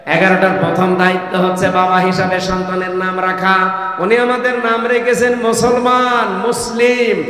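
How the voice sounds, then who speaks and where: A man's voice: high-pitched, flat delivery, moderate pace, a bit bright. A man from Bangladesh with a Bangladeshi accent preaching an Islamic sermon, emphatically prompting his listeners with questions. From Kushtia, Bangladesh. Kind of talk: waz sermon to a congregation. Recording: echoey hall with long reverb.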